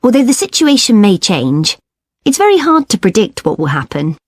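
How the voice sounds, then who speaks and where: A woman's voice: mid-range voice, very animated, normal speed, dry recording. A keen student girl chatting about coursework, agreeable, very up and down voice, dry sound. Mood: engaged. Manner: engaged, agreeable. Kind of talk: scripted study discussion.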